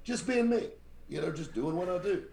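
A man's voice: normal speed, creaky. A man, telling stories as a guest in a casual chat. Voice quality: very deep voice. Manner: animated, mimics voices in his stories. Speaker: podcast guest, radio show host. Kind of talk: casual storytelling. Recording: echoey room.

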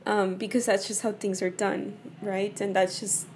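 A woman from El Paso, Texas, hoarse from a cold, talking casually and personally to her viewers, leaning on "you know" and "right?". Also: mid-range voice, flat delivery, moderate pace, slight background noise. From El Paso, Texas, USA. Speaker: vlogger. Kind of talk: casual personal talk to camera.

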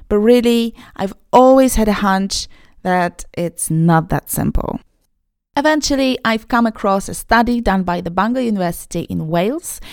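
A woman's voice: mid-range voice, no room sound, clean studio sound. A woman from Poland with a Polish accent, hosting an English-learning podcast in an upbeat, emphatic teaching manner. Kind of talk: podcast lesson, talking to learners.

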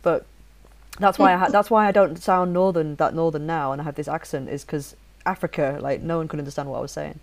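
A woman's voice: deep voice, fairly quick. Chatty woman with a faint northern accent. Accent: soft northern English, mostly neutral. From Leeds, England. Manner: chatty, animated, restarts often. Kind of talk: casual chat.

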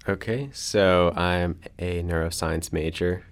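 A young man from North Carolina, a college student guest who chats hesitantly with many pauses and fillers. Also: low voice, steady tone, clean tone. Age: young adult. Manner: hesitant, rambling, many ums. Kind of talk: casual chat.

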